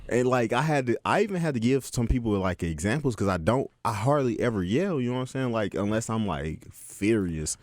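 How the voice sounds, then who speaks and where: A man co-hosting a podcast in casual chat, deep-voiced and laid-back, with a joking, teasing manner. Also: lively intonation, brisk, hardly any pauses, no room sound, clean studio sound. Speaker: podcast co-host.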